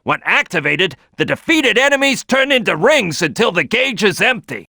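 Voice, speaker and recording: A man's voice: sits high, very animated, moderate pace, gravelly, bright, forceful tone, no room sound, studio quality, exaggerated voice. A man voicing a big brash cartoon crocodile team leader in a video game, giving tutorial tips with emphatic, punchy delivery. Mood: instructive, emphatic. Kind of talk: animated game tutorial voice lines.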